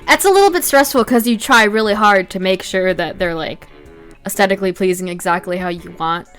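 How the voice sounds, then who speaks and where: A woman's voice: mid-range voice, fairly quick, a bit bright, clear, resonant tone. A woman chatting informally as an illustrator talking through her own drawing process. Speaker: illustrator, video creator. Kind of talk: casual chat over a speedpaint. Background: music.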